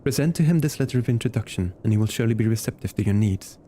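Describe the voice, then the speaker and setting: Man reading as a grave, mellow fantasy lord. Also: lowish voice, lively intonation, rapid-fire, a little hiss or hum, lightly acted. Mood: formal, helpful. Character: formal, grave medieval-fantasy lord in a video game. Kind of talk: reading game dialogue aloud in character.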